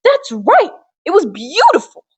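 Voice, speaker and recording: Earnest storybook father played by a woman: high-pitched, very animated, theatrical reciter of Christmas wonder. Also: unhurried, heavily acted. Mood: excited recollection. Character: Professor Moore, earnest father recounting Christmas Eve wonder.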